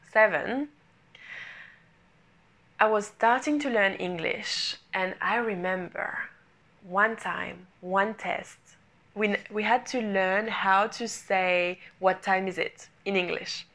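A woman in her twenties speaks English with a French accent, clearly not her first language. She tells her own story in an earnest, personal way, repeating and reworking phrases as she goes. Her pitch sits in a middle range for a woman. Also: sharp tone. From France. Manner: earnest, repetitive phrasing, non-native English grammar. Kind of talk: personal motivational monologue.

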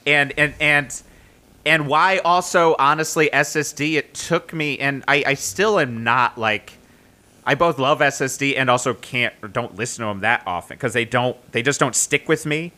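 A man chats loosely on a podcast, piling up fillers like "like" and "you know" as he thinks aloud. His tone is bright and forward, and he teases his co-hosts in a playful way. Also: medium pitch, normal speed. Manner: rambling, filler-heavy, playful teasing. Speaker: podcast co-host. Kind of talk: casual chat.

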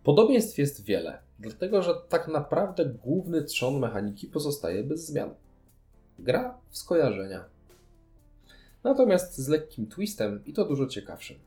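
Lively man in a roomy space. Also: a little high, unhurried, soft background music. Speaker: podcast co-host. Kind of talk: casual board game review chat.

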